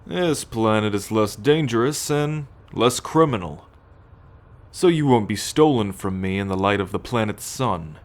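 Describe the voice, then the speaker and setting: A low-pitched, haughty alien military captor, acted out by a man for an ASMR roleplay. He talks in measured, imperious phrases, musing and sighing, with a teasing possessiveness and cool menace toward his captive. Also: lively intonation. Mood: commanding, possessive. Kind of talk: ASMR roleplay acting, addressed to the listener.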